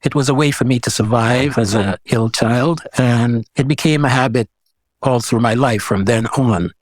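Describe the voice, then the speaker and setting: A man's voice: medium pitch, moderate pace, no room sound. A man, speaking reflectively and casually as an artist being interviewed. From Guyana. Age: 70s. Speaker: guest, visual artist.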